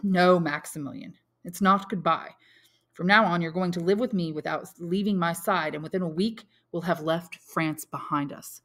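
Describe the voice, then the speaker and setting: A solemn, commanding count, as a woman reader voices him, slow and measured, persuading with quiet authority. The voice sits lowish for a woman. It stays steady and grave.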